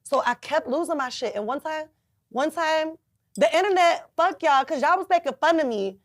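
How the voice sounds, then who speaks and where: Twenties woman, raspy rapper-singer, laid-back and blunt, dry close mic. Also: high voice, animated, moderate pace, no echo. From Chicago, US. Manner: laid-back, frank, swears freely. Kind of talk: casual interview.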